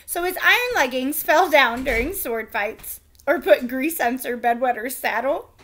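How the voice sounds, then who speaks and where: A woman teacher reading a chapter book aloud to children with expressive, animated storytelling. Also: sits high.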